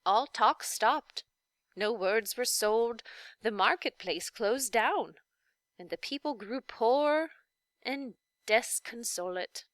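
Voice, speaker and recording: Woman voicing a slow, gentle, dignified old lady. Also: on the high side, expressive, no room sound. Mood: wistful, measured. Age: older. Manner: gentle, deliberate, formal. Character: kindly, dignified old lady, a not-so-wicked official witch. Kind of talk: children's storybook read-aloud.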